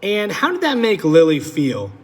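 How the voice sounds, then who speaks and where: A man teacher reading a picture book aloud to children with animated storytelling, then asking them questions about it. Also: sits high, moderate pace, husky. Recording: echoey room with long reverb.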